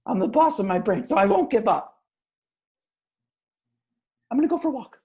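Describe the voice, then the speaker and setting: Woman playing a rambling, animated paranoid stoner guy. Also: a little high, moderate pace, natural voice. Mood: angry, defiant. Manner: slangy, rambling. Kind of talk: amateur screenplay table read.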